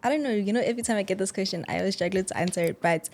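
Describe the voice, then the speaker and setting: A woman's voice: medium pitch, racing, a little mellow. A woman, chatting casually and expressively as a guest and acting out quoted remarks. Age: early 20s. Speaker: interview guest. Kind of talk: casual chat, personal storytelling.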